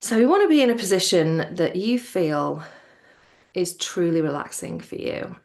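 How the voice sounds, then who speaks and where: A woman teaching yoga nidra in a soothing, gentle manner, her soft voice trailing off toward a near-whisper as she guides relaxation. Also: on the low side, sing-song. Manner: soothing, gentle, unhurried with pauses.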